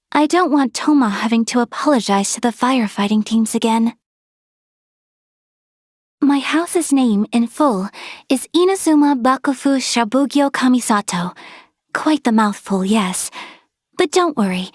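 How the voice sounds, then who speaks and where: Casting: refined young noblewoman, game character. Higher-pitched, dry studio sound, gracious and formally spoken. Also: no echo. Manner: formal, poised, politely measured diction. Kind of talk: video game character voice lines.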